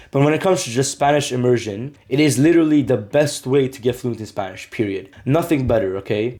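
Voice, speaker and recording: A man's voice: mid-range voice, a little mellow. A young man, a language-learning video maker, talking straight to camera in a casual, earnest way, leaning on "like" and "right?". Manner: earnest, repetitive, lots of "like" and "right?". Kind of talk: casual explainer vlog.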